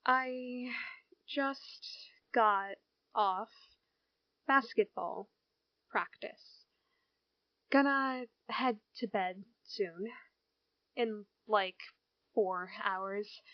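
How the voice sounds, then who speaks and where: A woman playing a bored small-town teenage girl, voicing her thoughts in a slow, inward way. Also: mid-range voice, no echo, highs cut off. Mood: wistful.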